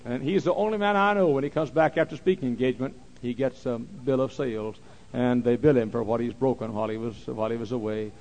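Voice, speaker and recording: A man preaching a sermon, repeating phrases and swinging from quiet, slow talk to loud shouting. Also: medium pitch, fairly even intonation, rough recording.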